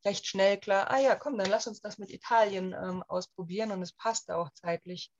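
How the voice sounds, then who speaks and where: A woman in her thirties from Germany chats as an interview guest, her speech loose and full of fillers and restarts. Her voice sits at a middle pitch. The recording sounds dull and somewhat lo-fi. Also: steady tone, moderate pace. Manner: chatty, with many fillers and restarts. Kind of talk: casual conversational interview.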